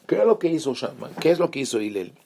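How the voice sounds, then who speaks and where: A man's voice: medium pitch, big pitch swings, fast talker, vocal fry, a little mellow. A man from Israel with an Israeli accent, a rabbi teaching an online lesson with many fillers and restarts. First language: Hebrew.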